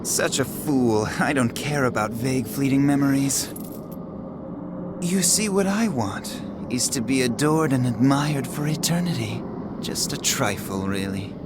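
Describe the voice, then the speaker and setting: An actor playing a cold, power-hungry villain ruler in a video game cutscene, with theatrical, condescending, drawn-out mocking phrasing. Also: male voice, medium pitch, background noise, close to an everyday voice. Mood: disdainful. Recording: loud orchestral music behind the voice.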